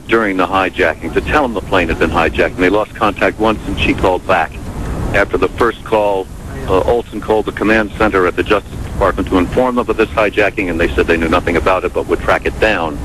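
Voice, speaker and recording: Low-voiced American newsman, noisy feed. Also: brisk. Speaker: news correspondent.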